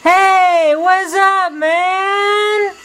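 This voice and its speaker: High-pitched surfer-dude lion with a Valley accent. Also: male voice, fairly even intonation, extremely slow, pushed and bright, heavily acted. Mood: chill, chatty. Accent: Los Angeles Valley. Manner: slangy, drawling, very high falsetto. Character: laid-back young male lion, surfer dude.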